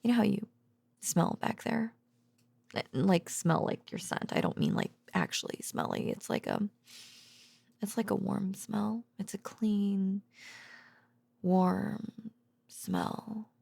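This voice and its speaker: Woman acting a sleepy, creaky-voiced, doting girlfriend. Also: medium pitch, sing-song, slow pace, pauses often, no room sound, heavily acted. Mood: tender, dreamy. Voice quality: soft, breathy murmur dipping into whispers and creak. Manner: hesitant, rambling, giggly, tender. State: drowsy. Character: affectionate, sleepy, bashful girlfriend, a researcher home from work. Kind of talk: intimate bedtime ASMR roleplay. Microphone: close microphone, dry.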